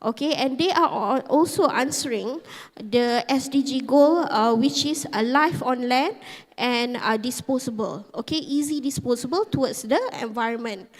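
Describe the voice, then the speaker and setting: A woman from Malaysia giving a conference presentation, halting with many fillers. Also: on the high side. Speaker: conference speaker. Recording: reverberant conference hall.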